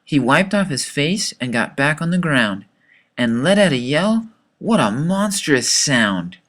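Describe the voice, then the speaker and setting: A man reading a rhyming children's story as its author, with dramatic, expressive delivery. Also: a little high, a little slow.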